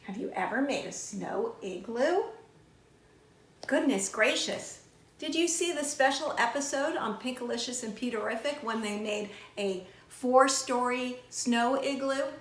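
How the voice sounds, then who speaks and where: High-voiced woman reading a storybook slowly. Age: middle-aged. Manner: deliberate, child-directed. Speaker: children's author reading aloud. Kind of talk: expressive storytime reading with questions to young listeners. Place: small indoor room with shelves. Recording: roomy indoor echo.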